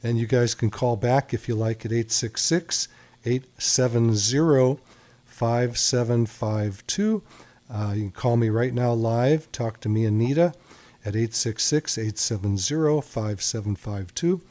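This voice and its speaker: Mellow-voiced man hosting a radio call-in. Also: mid-range voice, a little slow. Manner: warm, reassuring, chatty with callers. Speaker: radio show host and doctor.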